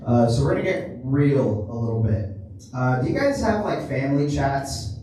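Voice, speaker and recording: A man's voice: mid-range voice, very animated, moderate pace, dark tone, a little hiss or hum. A man doing a stand-up routine in a small theater, telling a long story in a loose, rambling way. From Cuban family. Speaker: stand-up comedian. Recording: echoey room from far off.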